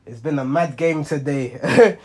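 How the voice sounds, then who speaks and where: Young male football reaction host, hoarse and under the weather; casual, rambling delivery with a slightly high voice. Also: unhurried, darkish tone. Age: young adult. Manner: rambling, many ums and self-corrections. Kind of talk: casual monologue.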